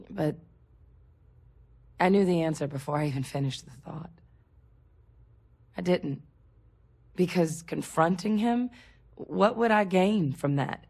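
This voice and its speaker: A woman playing a sincere, resolute soldier in a video game, speaking in an earnest, reflective, measured way. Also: lowish voice, a little mellow. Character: sincere, resolute female soldier haunted by her father. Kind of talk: dramatic video game dialogue.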